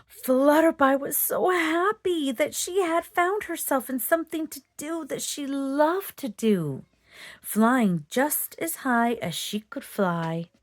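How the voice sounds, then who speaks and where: Casting: female children's storyteller, very lively intonation, slightly slow delivery, dry close sound. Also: sits high, dry recording. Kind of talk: expressive children's storybook read-aloud.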